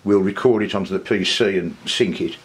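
A man with a husky voice, informally demonstrating old audio equipment in a hesitant, conversational way. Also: low-pitched, a little fast. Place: indoor room with record player. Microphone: clip-on mic, not near enough.

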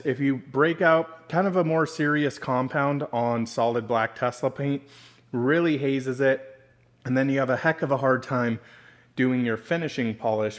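A young man talks viewers through a car detailing job in casual vlog narration. He sounds enthusiastic and instructive at a mid pitch, addressing the audience directly.

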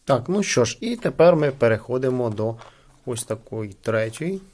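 A man's voice: medium pitch, expressive, moderate pace, a little mellow. A man giving casual, conversational unboxing commentary as a host, hesitating and leaning on filler phrases. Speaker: product unboxing host and reviewer.

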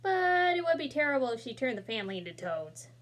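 A young woman reading a picture book aloud, bright and expressive. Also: sits high, quick pace. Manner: expressive, with asides and small slips. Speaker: children's book reader. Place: small room indoors.

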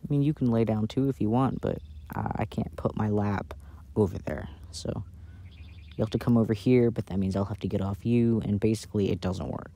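A man doing a casual, intimate ASMR boyfriend roleplay in a soft-spoken, playful way, recorded outdoors. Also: lowish voice, fairly even intonation, fairly quick. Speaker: audio roleplay performer playing a boyfriend. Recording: outdoor recording with background noise. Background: outdoor ambience and noise.

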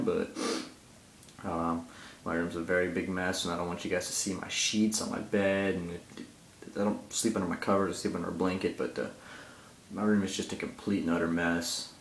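A man's voice: low voice, creaky, a little hiss or hum. A teenage boy vlogging casually into a handheld iPod at arm's length, rambling and easily distracted, cracking jokes in a small bathroom. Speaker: gaming vlogger. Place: small tiled bathroom, indoors. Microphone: handheld iPod camera at arm's length. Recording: echoey bathroom.

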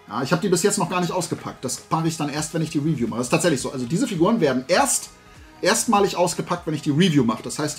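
A man in his forties with a slightly high-pitched voice chats to his viewers like the host of a hobby show. He rambles in a casual, enthusiastic way. Faint music plays behind him. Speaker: host of a toy collecting video show. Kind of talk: casual chat, showing a toy haul to viewers. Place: small room full of toy shelves, indoors.

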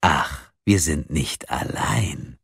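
A man's voice: rumbling low, expressive, gravelly, fairly bright tone, breathy edge, no room sound, studio quality, exaggerated voice. Man voicing a sneering anonymous caller. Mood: mocking. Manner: slow, sneering, drawn-out delivery. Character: mocking anonymous male caller, cold and taunting.

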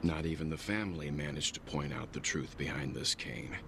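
An actor playing a stern, guarded man in a game, speaking in a measured, formal, commanding way. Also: extremely low pitch, creaky, background noise. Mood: cold, threatening. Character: stern, guarded bespectacled man, protective and threatening. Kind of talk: scripted game dialogue. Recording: game dialogue over background music.

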